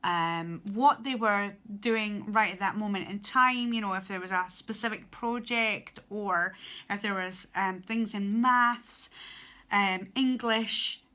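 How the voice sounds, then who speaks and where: Scottish lass vlogging, bright voice, lots of ums and false starts, chatty. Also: female voice, medium pitch, takes it slowly. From Scotland. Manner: chatty, hesitant, many ums and restarts. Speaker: student teacher vlogger. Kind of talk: casual advice vlog.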